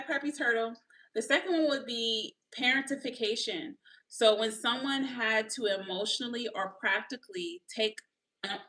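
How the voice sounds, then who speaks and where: A woman in her thirties with a slightly nasal voice, hosting a live mindfulness talk. She explains slowly, repeats her definitions, and checks in often with "okay?" and "right?". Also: medium pitch, sharp tone, poor audio. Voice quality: a little nasal from allergies. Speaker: mindfulness coach and livestream host. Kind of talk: live-streamed mindfulness teaching.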